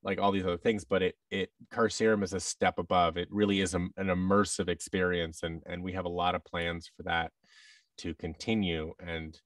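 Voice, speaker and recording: A man in his thirties with an American accent, chatting casually in an interview with halting, restarted phrases. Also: deep voice, little pitch movement, dry recording, clean studio sound, far from the mic. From United States. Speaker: guest, voice actor and audio drama producer. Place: large office room, indoors. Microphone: headset with boom-arm microphone on a video call.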